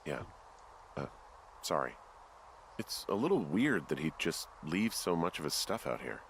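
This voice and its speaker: An actor playing a wry, easygoing fire lookout in a video game, talking in a dry, self-deprecating, casual way. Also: male voice, very deep voice, big pitch swings, a little slow, creaky, a little hiss or hum, heavily acted. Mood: reflective, wry. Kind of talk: naturalistic video game dialogue.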